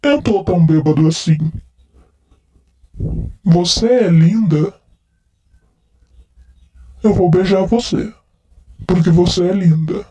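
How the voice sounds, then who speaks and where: A drunk, heartbroken man, played by a male actor, pleads over and over in a first-person roleplay. His voice sits fairly high yet sounds dark and mellow. He slurs and repeats himself as he begs his friend to stay close. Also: slow pace, long pauses. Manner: repetitive, pleading.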